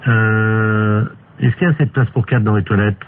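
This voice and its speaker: A man playing a hesitant, deadpan male court official in an improvised telephone prank. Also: lowish voice, normal speed, soft background music, lightly acted. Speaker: prank caller. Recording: tinny phone line with clear hiss.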